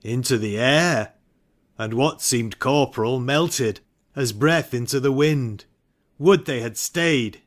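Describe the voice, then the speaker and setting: A man playing a commanding, ambitious warrior lord in a dramatic Shakespeare reading, speaking deliberately. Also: medium pitch, natural voice. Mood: intrigued.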